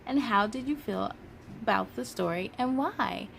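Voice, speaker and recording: Female children's storytime host, very high voice, animated and emphatic delivery, some background noise. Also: unhurried, creaky. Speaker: children's read-aloud host. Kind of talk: expressive storybook reading and friendly address to young viewers.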